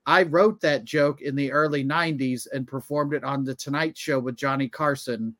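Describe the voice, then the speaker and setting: A man's voice: medium pitch, fairly even intonation, normal speed, a bit bright, no room sound. Dry-sounding man in his thirties, chatting casually. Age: 30s. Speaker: comedian and podcast co-host. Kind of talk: casual conversational storytelling.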